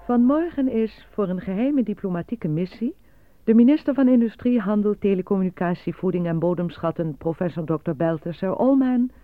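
A man's voice: sits high, expressive, a little fast. A man playing a formal state radio newsreader in a Dutch radio drama. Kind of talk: formal radio news reading. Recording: phone-like narrow lo-fi radio broadcast.

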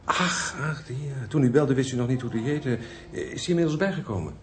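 An actor playing an eager, flirtatious junior police detective in a radio crime drama. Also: male voice, mid-range voice, expressive, fairly quick, slight background noise, natural voice. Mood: polite, flustered.

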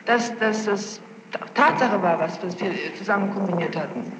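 A woman's voice: medium pitch, normal speed. Young woman testifying on very noisy tape. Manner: defensive, insistent explaining. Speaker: witness under questioning. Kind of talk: spontaneous testimony. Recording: noisy, muffled archival tape, echoey hall.

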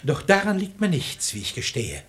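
A man's voice: a little high, huge pitch swings, normal speed. A male actor playing a sanctimonious, lecherous hypocrite in a verse radio play, with an unctuous, declamatory delivery. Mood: fervent wooing. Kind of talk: German radio play acting in rhymed verse.

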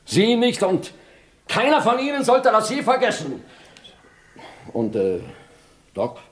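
An actor in a vintage radio play, playing a pompous, easily flustered town official who blusters and hesitates. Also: male voice, high voice, wildly swooping pitch, slow pace, heavily acted.

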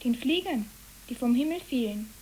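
A wondering child played by a woman tells of a dreamlike walk in a fairly high voice, radio-play style, with faint hiss. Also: expressive, normal speed, a little mellow, close to an everyday voice, rough recording. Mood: hushed, wondering. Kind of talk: literary radio play acting.

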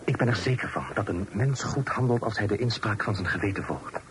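A man playing a well-bred, earnest young gentleman in a period radio drama, formal and prone to stammering when flustered. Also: fairly low pitch, fairly quick, close to an everyday voice. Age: young adult. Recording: noisy old radio drama recording.

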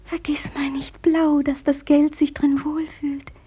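An actress playing a prim, dreamy spinster dressmaker in a radio play, speaking with breathy sweetness. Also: female voice, high-pitched, level tone, a little slow, warm tone. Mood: tender. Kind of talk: radio drama acting.

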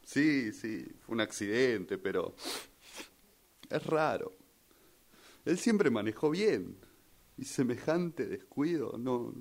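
Un hombre con acento argentino que interpreta en un radioteatro a un hijo en duelo, dubitativo y lleno de sospechas. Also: male voice, medium pitch, animated, slow, creaky, pauses often, a little mellow, heavily acted. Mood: troubled, doubtful. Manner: hesitant, repeats phrases. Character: grieving son troubled by suspicions over his father's death. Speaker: radio drama workshop participant. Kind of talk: rehearsed radio drama acting.

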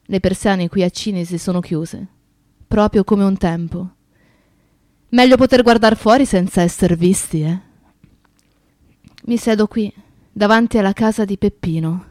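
An actress playing a reflective, grieving woman who narrates memories of a friend in a radio play, in a measured storytelling manner. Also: mid-range voice, darkish tone, no room sound, lightly acted. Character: reflective, grieving woman recalling her activist friend and his town.

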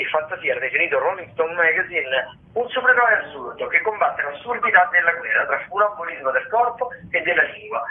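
Tinny narrow-band radio sound, man, higher-pitched voice, informal host, improvised listings, hesitant and rambling. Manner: rambling, self-correcting, hesitant delivery. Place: radio broadcast.

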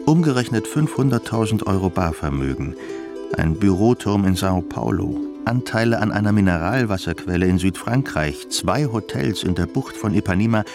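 A man's voice: fairly low pitch, animated, moderate pace. A man narrating a scripted radio play as a wry, literary storyteller. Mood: wry narration. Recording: music behind the voice.

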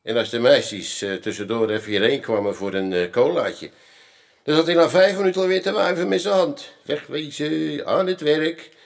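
Streetwise pub landlord. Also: male voice, fairly high pitch, normal speed, creaky. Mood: knowing, amused. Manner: colloquial, hesitant asides, knowing. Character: male Amsterdam pub landlord, streetwise, chatty, unbothered. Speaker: café owner. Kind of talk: Dutch radio play acting.

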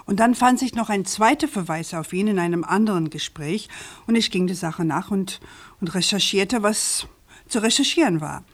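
An actress playing a self-assured, inquisitive American journalist in a German radio crime drama, speaking politely but pointedly. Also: female voice, mid-range voice, expressive, moderate pace. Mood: earnest, explaining.

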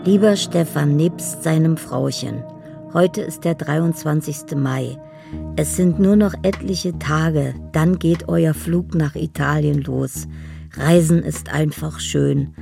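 An actress playing a dreamy, lovelorn woman in a radio play, speaking poetic love letters in a deliberate, solemn way. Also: low voice, vocal fry, over music, exaggerated voice. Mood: tender, solemn. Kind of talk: radio play inner monologue.

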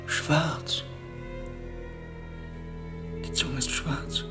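An actor playing an earnest, musing monastery herbalist in a German radio play, speaking in a deliberate, thoughtful way. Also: male voice, medium pitch, fairly even intonation, over music. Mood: pensive, troubled.

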